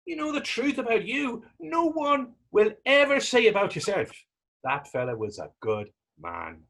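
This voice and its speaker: Man acting a husky, swooping Irish taunter. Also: extremely high pitch, very animated, unhurried, fairly bright tone, heavily acted. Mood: bitter, cutting. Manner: mocking, needling, wordy old-fashioned dialect. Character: taunting, defiant Irish man needling a policeman. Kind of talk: readers theater stage acting.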